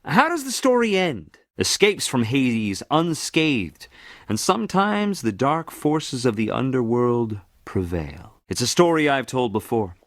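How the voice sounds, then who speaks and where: A man's voice: medium pitch, very animated, a little slow, dry recording, clean studio sound. A man playing a wry, glib Greek messenger god for a game, with a sardonic, chatty, flippant manner. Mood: dramatic storytelling. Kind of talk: theatrical game voice lines.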